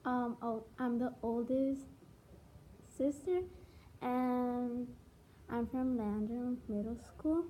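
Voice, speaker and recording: A woman's voice: a little high, steady tone, takes it slowly, pauses often, dark tone, a little hiss or hum, rough recording. Hesitant girl. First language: Spanish. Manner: hesitant, searching for words. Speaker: student interviewee. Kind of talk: school interview.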